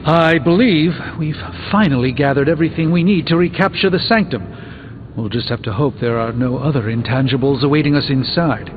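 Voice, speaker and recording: A man's voice: medium pitch, moderate pace, noisy background, lightly acted. A man playing a proud, erudite sorcerer superhero in a video game, speaking formally with dry wit. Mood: confident, grave. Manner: formal, wordy, dryly witty. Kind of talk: video game character dialogue. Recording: muffled game capture with music behind.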